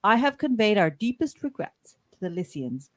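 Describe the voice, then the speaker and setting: A woman playing a resolute, principled starship captain in an amateur radio play, speaking in formal, measured commands. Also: mid-range voice, sing-song, no room sound, close to an everyday voice. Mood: grave, formal.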